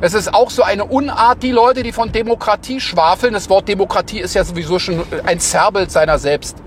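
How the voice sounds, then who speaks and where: Berliner passenger, high-pitched and sardonic, rambles his opinions in a car with faint street hum behind him. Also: a little fast. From Berlin, Germany. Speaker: passenger guiding a city drive. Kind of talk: casual chat. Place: inside a moving car in a city.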